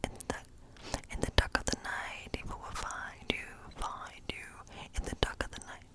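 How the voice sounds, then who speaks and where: A woman playing a friendly, chatty woodland witch in an ASMR role play, speaking in a breathy whisper and rambling gently. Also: moderate pace, lightly acted. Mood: musing, playful. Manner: rambling, gentle, lingering over phrases.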